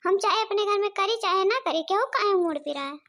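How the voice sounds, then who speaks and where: A woman's voice: extremely high pitch, fairly quick, a bit bright. A woman voicing a bossy, complaining elderly village mother-in-law in cartoon comedy, with an Awadhi accent. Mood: scolding. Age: older.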